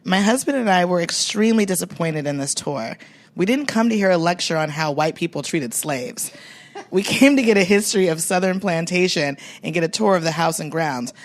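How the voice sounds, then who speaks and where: A woman's voice: lowish voice, animated, a little fast, close to an everyday voice. Woman putting on an entitled, aggrieved female tourist.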